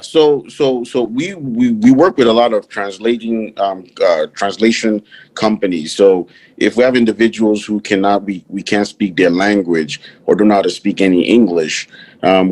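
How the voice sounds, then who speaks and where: A man from Nigeria, a Yoruba speaker, answers questions on a panel for his chamber of commerce. His voice sits fairly low. He often stumbles and doubles up his words before finding the thread. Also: a little mellow. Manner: repeats words and restarts often.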